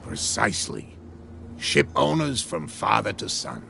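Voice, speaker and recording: A man's voice: fairly low pitch, huge pitch swings, measured pace, vocal fry, bright, forceful tone, slight background noise, full character voice. A man voicing a superstitious old sailor at the docks, knowing and teasing, in a video game.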